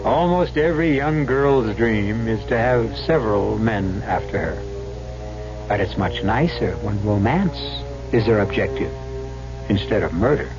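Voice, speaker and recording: Male narrator-host, vintage suspense radio: measured, wry, music underneath, muffled sound. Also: lowish voice. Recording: dull 1970s radio recording, music behind.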